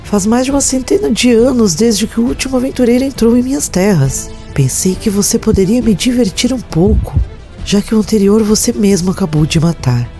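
Actress as malicious forest witch, dark mellow voice, taunting, commanding, seductive, theatrical, audiodrama, faint music behind. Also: on the high side, animated, brisk, natural voice. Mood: mockingly tempting. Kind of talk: audiodrama acting.

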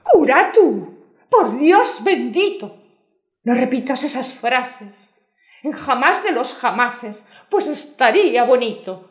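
A melodramatic young noblewoman in a comic radio play, her voice high and gushing with love. She declaims slowly and theatrically in an echoey room. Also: sing-song, heavily acted. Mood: passionate. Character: lovestruck, melodramatic young noblewoman, count's daughter. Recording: roomy echo.

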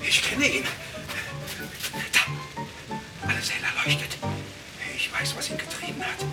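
An actor in a German radio play, playing a paranoid, volatile gentleman bandit, clipped and contemptuous, prone to shrill cries. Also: wildly swooping pitch, measured pace, over loud music, exaggerated voice. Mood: bitter, agitated.

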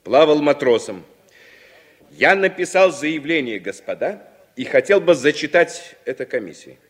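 A man's voice: on the high side, animated, a little slow, breathy edge, long reverb tail. An actor in a Soviet radio drama playing a principled, stubborn American man in his forties who stands up for himself. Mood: defiant. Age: 40s. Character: principled, stubborn American leftist man, defiant under questioning. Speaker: lead actor and first-person narrator. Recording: 1960s radio play, music behind.

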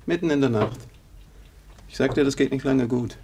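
A man's voice: mid-range voice, normal speed, creaky, noisy background, lightly acted. An actor playing a blunt, brash young man, a womanizing brother, in a 1950s German radio drama. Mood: blunt, wry. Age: young adult.

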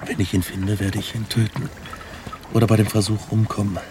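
Guy doing weary guilt-ridden monster hunter, low grave voice, radio play with noisy ambience behind. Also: flat delivery, brisk, lightly acted. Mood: grimly determined. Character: despairing, guilt-ridden, exhausted man hunting a monster. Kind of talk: radio drama acting.

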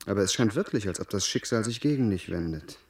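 A resigned, earnest gentleman in a radio play. His voice is fairly low, and he speaks in measured, formal, reflective phrases. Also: lively intonation. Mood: resigned, consoling. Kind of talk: German radio play acting.

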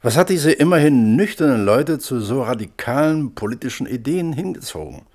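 Folksy panel member, mid-pitched, puzzled, hesitant, dry humour, radio drama. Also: male voice, sing-song, moderate pace, a little mellow. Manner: hesitant, plain-spoken, wry. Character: folksy, puzzled male hearing panelist, wryly humorous. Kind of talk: German radio play, hearing-room questioning.

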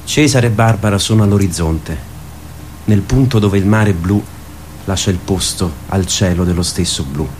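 A man's voice: low-pitched, moderate pace, darkish tone, slight background noise. A man delivering theatrical scripted narration as the narrator of a radio play.

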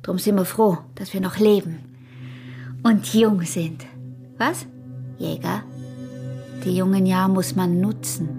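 Provocative young peasant wife, Austrian accent, slow taunting delivery, radio drama, faint music behind. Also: female voice, medium pitch, fairly even intonation, vocal fry, mellow.

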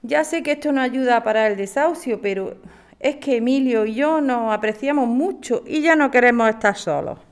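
A woman's voice: on the high side, normal speed, clean tone, natural voice. A woman playing an earnest elderly mother in a radio drama, with an accent from Spain. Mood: earnest, pleading. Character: firm 78-year-old mother of a struggling family. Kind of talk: amateur radio drama acting.

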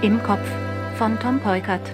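Slow-paced female announcer over tinkling music. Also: mid-range voice, fairly even intonation. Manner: measured, matter-of-fact. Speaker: credits announcer. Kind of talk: scripted radio drama announcement.

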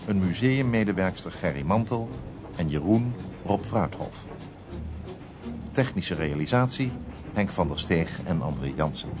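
A man's voice: low voice, noisy background. A man with a Dutch accent reading scripted closing credits as a radio drama announcer. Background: music.

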